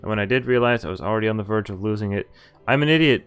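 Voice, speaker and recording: A man's voice: on the low side, rapid-fire, smooth. A man streaming a visual novel, reading dialogue and narration aloud and casually acting out the lines. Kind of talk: live read-aloud of game dialogue and narration.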